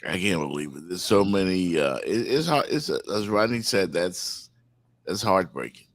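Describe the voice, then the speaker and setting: A man's voice: sits low, expressive, moderate pace, no echo. A man from the Bahamas co-hosting a casual morning show, often repeating phrases for emphasis. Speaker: talk show co-host.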